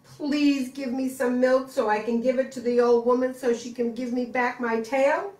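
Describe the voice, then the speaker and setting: A woman voicing a pleading young male fox who lost his tail, in a high earnest tone. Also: steady tone, normal speed, darkish tone, lightly acted. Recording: roomy echo, far from mic.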